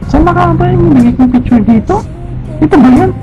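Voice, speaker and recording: A woman's voice: high voice, brisk, natural voice. A woman voicing a bashful, polite, easily flustered young woman with a Filipino accent in a comic amateur dub. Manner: exclamatory, flustered. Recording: dull lo-fi sound with faint music.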